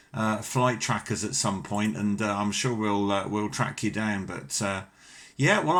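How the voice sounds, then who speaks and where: A man's voice: mid-range voice, sharp tone. A middle-aged British man chatting casually as an amateur radio operator, courteous and talkative. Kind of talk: casual ham radio chat.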